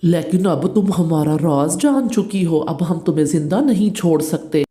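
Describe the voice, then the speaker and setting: A woman's voice: fairly low pitch, animated, normal speed, warm tone, natural voice. A woman voicing a menacing, scheming owl in a Hindi children's cartoon. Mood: threatening. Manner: menacing, conspiratorial. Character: scheming, threatening owl gang plotting to kill.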